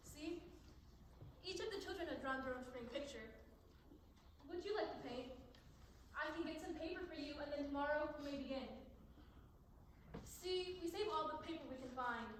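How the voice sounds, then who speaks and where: A gentle schoolteacher acted by a woman with a high voice, patient and coaxing. She is heard at a distance on a very echoey stage. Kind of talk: stage play acting. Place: stage in an echoing hall, live performance. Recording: distant mic in echoing hall, faint noise.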